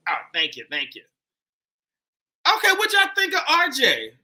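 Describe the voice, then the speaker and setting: A man with a Pittsburgh accent hosting a live dating show in a loud, animated, chatty way. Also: very high voice, normal speed. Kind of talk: casual live-stream chat.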